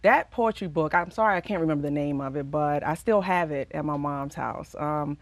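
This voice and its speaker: A woman recording artist with a raspy voice, answering interview questions casually and reflectively, often pausing with ums. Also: low voice, no room sound. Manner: reflective, pauses with frequent ums.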